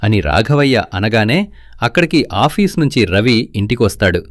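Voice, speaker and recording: Storybook narrator, man, deep-ish and highly expressive, close dry mic. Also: moderate pace, dry recording.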